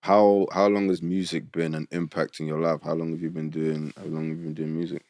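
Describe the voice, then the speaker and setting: A man in his thirties with a low voice hosts a casual podcast interview. He asks his questions playfully and jokes along with his guest. The recording is dry and close. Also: fairly quick, no echo. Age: 30s. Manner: playful, animated, joking with the guest. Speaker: podcast host.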